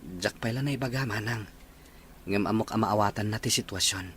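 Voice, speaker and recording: A man's voice: lowish voice, brisk, fairly bright tone, a little hiss or hum, close to an everyday voice. A man playing a humble, apologetic young man in an audio drama. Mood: gentle. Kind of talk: Ilocano audio drama acting.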